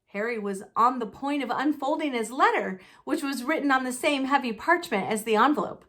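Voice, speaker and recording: A woman narrating a children's novel in an expressive read-aloud style. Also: high-pitched, brisk.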